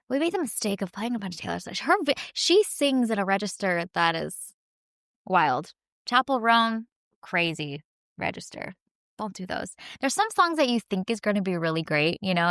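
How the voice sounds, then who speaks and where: Lively woman, laughing podcast host. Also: on the low side, no echo, clean studio sound. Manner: animated, laughs often, quick asides. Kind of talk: casual chat.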